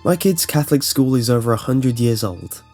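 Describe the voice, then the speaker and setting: A man delivers scripted horror narration in a medium-pitched voice. He tells short first-person anecdotes as a narrator, with music playing behind him. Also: lively intonation, normal speed, a little mellow.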